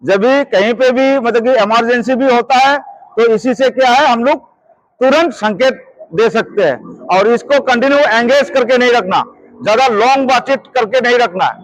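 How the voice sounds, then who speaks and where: A man's voice: very high voice, moderate pace, fairly bright tone, low-quality recording. A man teaching as a security trainer, repeating points and often checking that listeners follow. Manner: repetitive, checks understanding often. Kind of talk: hands-on instructional lesson.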